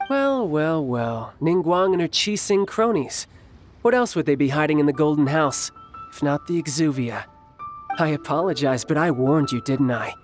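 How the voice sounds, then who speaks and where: An actor playing a smug, playful young villain in a video game, breezy, cocky and teasing. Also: male voice, a little high, expressive, normal speed, natural voice. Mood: smug scheming. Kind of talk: video game dialogue acting. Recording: music playing behind. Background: background music.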